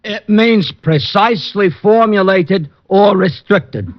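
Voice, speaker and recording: Forties radio comic policeman: high, dense, pedantic, swooping, dull dry vintage recording. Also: lively intonation, takes it slowly, dry recording. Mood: dim, defensive. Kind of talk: 1940s radio acting. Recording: muffled old radio recording.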